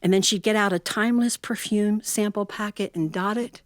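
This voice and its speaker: A woman's voice: medium pitch, no room sound. American woman storyteller, close dry recording. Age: middle-aged or older. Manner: expressive, with unhurried dramatic phrasing and conversational asides. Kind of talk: live personal storytelling, reflective and folksy.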